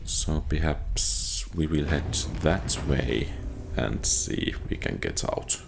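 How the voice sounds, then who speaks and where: A man doing casual video game commentary, halting and musing as if thinking aloud. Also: rumbling low, creaky, background noise. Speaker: gamer narrating own playthrough.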